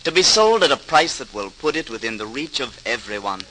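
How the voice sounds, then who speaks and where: Earnest, modest potter, forceful bright voice. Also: male voice, medium pitch, sing-song, a little fast, faint music behind. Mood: eager, pleased. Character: Josiah, earnest, modest, dedicated English potter. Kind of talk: old-time radio drama acting. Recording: noisy 1940s radio transcription recording.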